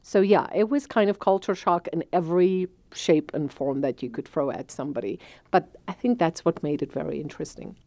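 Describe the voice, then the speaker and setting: A woman in her forties with a South African accent and Afrikaans as her first language tells her own story, reflective and quick to laugh. Her voice sits low and mellow. The sound is close and dry. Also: dry recording. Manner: reflective, laughs easily, uses fillers. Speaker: business owner telling her story. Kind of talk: personal storytelling interview.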